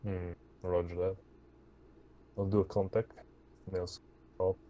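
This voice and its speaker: A man's voice: sits low, level tone, measured pace, dull audio, a little hiss or hum. A man playing a tabletop roleplaying game, speaking in short in-character reports and replies.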